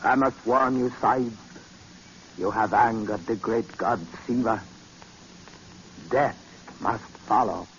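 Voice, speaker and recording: Slow, ominous mystic seer. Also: male voice, mid-range voice, steady tone. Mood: ominous warning. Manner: slow, formal, portentous. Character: solemn, menacing turbaned male mystic and seance leader. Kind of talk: 1940s radio acting. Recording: muffled, hissy old radio recording.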